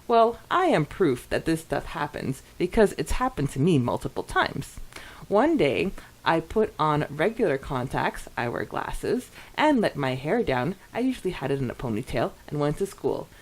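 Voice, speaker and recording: A woman co-hosting a podcast, chatting casually in a giggly, expressive way as she reacts to listener comments. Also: low voice, moderate pace. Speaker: podcast co-host.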